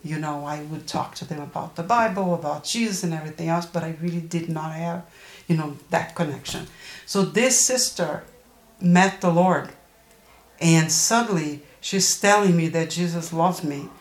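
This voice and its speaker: A woman in her early sixties with a Brazilian accent, giving personal testimony as an interview guest, haltingly searching for words. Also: deep voice. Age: early 60s. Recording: roomy, echoing interview recording.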